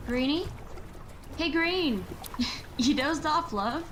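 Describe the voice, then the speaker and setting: An actress playing a modest, anxious, gently wry young Victorian match girl in an audio drama. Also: sits high, expressive, slow, background noise. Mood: gentle.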